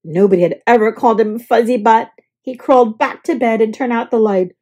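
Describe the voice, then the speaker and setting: A woman reading a children's picture book aloud in an expressive, scripted storytelling style. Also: on the high side, fairly quick, lo-fi sound. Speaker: storybook reader.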